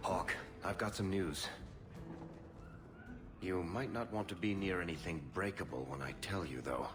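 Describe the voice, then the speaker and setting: A man's voice: sits low, moderate pace, natural voice. A man voicing a wry, sardonic male dwarf merchant in a video game cutscene. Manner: sardonic, dry humor. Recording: game cutscene with music behind.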